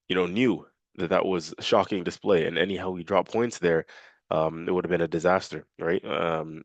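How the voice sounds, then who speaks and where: A man's voice: deep voice, dry recording, lo-fi sound. A man with a nasal, congested voice from a cold, casually previewing a football match for his fan channel with lots of fillers. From Canada. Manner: casual, filler-heavy, repeats himself.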